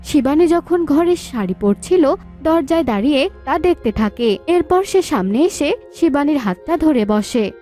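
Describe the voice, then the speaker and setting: Female storyteller for scripted narration: high register, mellow tone, light music bed. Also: expressive, a little slow.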